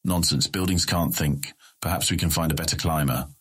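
An actor playing a stern, impatient fantasy guildmaster in an audiobook drama, curt and commanding. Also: male voice, a bass voice, fairly even intonation, brisk, a bit bright, husky, full character voice. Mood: impatient, dismissive.

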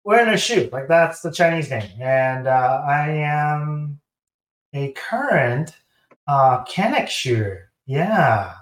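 A man's voice: on the high side, big pitch swings, slow. Taiwanese-accented man telling stories, roomy sound. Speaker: guest, sponsored competitive shooter. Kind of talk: casual interview storytelling.

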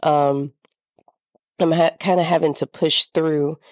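A woman's voice: deep voice, steady tone, takes it slowly, no room sound, clean studio sound. A woman podcast host with a slightly congested, nasal voice, talking candidly in a reflective personal monologue.

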